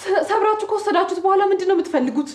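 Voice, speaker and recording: A woman's voice: very high-pitched, expressive, racing. An actress playing an indignant woman confronting intruders in a film drama. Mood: indignant, agitated. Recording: echoey distant noisy film sound.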